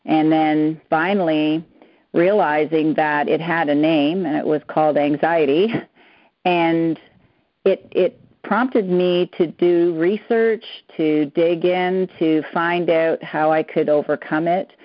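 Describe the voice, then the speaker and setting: A woman's voice: sits low, fairly even intonation, takes it slowly, no room sound. An older woman whose first language is German, a psychotherapist answering interview questions earnestly, sometimes hunting for words. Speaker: psychotherapist guest. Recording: dull dry lo-fi sound.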